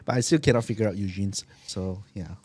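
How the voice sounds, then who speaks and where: A man co-hosting a podcast, easygoing in casual chat and often trailing off quietly. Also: lowish voice, a little fast, slightly rough. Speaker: podcast co-host.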